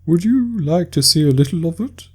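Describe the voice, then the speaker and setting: A man's voice: lively intonation, darkish tone, breathy edge. A man voicing a weepy, melancholy male Mock Turtle in a storybook reading, with a mournful, tearful way of talking. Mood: wistful. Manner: mournful, tearful, slow and high.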